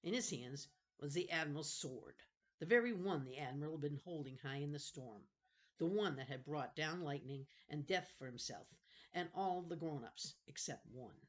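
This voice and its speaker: A woman's voice: deep voice, moderate pace. A woman reading a children's novel aloud expressively, with casual asides and the odd self-correction. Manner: expressive, stops to correct misreadings.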